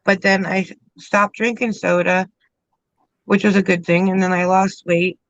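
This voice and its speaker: A woman co-hosting a casual livestream, chatting in a relaxed way with plain short sentences. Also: mid-range voice, moderate pace, dry recording, muffled recording. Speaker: livestream co-host.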